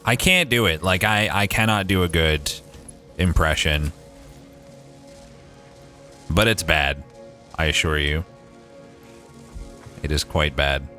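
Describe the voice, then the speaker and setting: A man's voice: a bass voice, takes it slowly, pauses often, pushed and bright, faint music behind. A young Canadian man with a Maritime accent, thinking aloud and swearing casually as he streams a game. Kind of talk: casual gameplay livestream chat.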